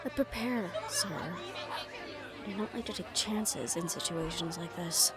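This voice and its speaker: A woman's voice: lowish voice, unhurried, a loud crowd, lightly acted. Casting: female actor as composed, nervy right-hand woman to a crime boss, intimate audio drama delivery. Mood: businesslike. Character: poised, anxious female right-hand assistant to mafia boss. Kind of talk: intimate audio roleplay acting.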